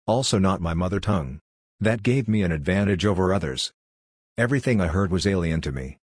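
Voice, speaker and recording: An older man from Hungary, whose first language is Hungarian, speaks in a deep, breathy-edged voice. He talks in a blunt, lecturing monologue. The sound is close and dry, with no room around it. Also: expressive, a little fast, no room sound. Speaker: workshop leader. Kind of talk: scripted monologue.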